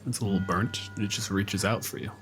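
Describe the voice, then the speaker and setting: A man with a fairly low voice runs a tabletop game as game master. He narrates scenes in dramatic, descriptive detail, with clear music playing behind him. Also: steady tone, fairly quick, a bit bright.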